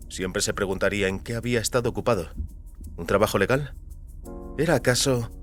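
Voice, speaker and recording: A man with a fairly low voice narrates a work of fiction. The delivery is scripted storytelling, with soft music playing underneath.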